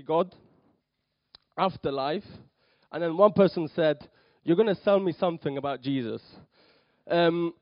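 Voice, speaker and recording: A man's voice: a little high, dry recording, highs cut off. A young man from Poland, brought up in London, giving a hesitant sermon in church with many ums and restarts. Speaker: lay preacher. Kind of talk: nervous church talk.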